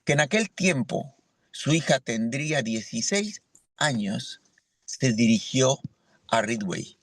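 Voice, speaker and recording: A man's voice: mid-range voice, expressive, slow pace, dry recording. A man from Mexico giving an earnest church sermon as a lay preacher, often asking "¿verdad?" to his listeners. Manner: earnest, with frequent rhetorical "¿verdad?".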